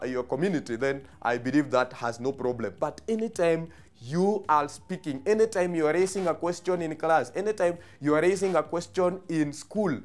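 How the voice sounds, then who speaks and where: A man with a Kenyan accent teaching a social studies lesson on television, explaining terms step by step and repeating key phrases, with frequent hesitations. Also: fairly high pitch.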